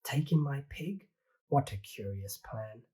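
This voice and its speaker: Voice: mid-range voice, expressive, takes it slowly, dark tone, breathy edge. A reader voicing a fretful little old woman who keeps begging for help with her crowded house, in a pleading, sing-song storybook manner.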